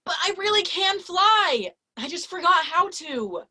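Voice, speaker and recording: A woman plays a boastful young boy who insists on his own greatness. The voice sits very high and bright, with a sharp, clear ring. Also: big pitch swings, unhurried, slight background noise, heavily acted. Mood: insistent. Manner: brash, bragging, insistent. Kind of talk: amateur theatre acting.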